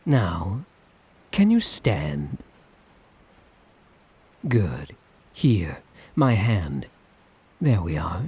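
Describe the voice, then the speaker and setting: A suave, lovestruck tyrant, played by a man for an ASMR roleplay, speaks in an unhurried, very husky murmur. He is theatrical and teasing, lilting widely as he dotes with condescending affection and a sadistic edge. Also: deep voice, huge pitch swings, dark tone, exaggerated voice. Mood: commanding, charming. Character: suave, sadistic, doting male tyrant, obsessively in love.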